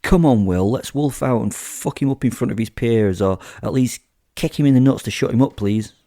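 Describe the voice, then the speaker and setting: A man's voice: medium pitch, normal speed, dark tone, no room sound, clean studio sound, lightly acted. A man voicing an eager, crude, mischievous wolf sidekick, cocky and goading, in a horror audio drama.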